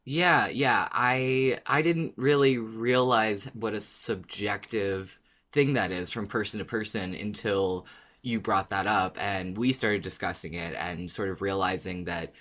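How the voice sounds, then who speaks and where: A man's voice: lowish voice, unhurried, fairly bright tone. A man co-hosting a podcast in casual discussion, speaking thoughtfully and circling back over his words. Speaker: podcast co-host.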